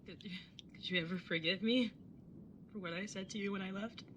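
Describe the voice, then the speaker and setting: Older sister, played by a woman, audio drama: candid, casual, protective, clear-edged bright voice, faint noise behind. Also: medium pitch, a little fast, close to an everyday voice. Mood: remorseful, tender. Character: apologetic, protective older sister on a road trip. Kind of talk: audio drama acting with first-person narration.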